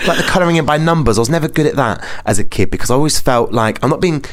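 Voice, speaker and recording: A man's voice: on the high side, expressive, very fast. A British man hosting a podcast in casual conversation, telling anecdotes in a chatty, self-deprecating way. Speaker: podcast host. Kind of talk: casual chat.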